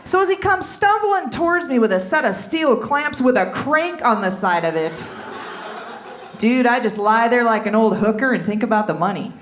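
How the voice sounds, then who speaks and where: A woman's voice: on the high side, lively intonation, normal speed, a little hiss or hum. A woman comedian performing a stand-up routine, telling candid, bawdy stories and acting out asides. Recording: live comedy club with audience.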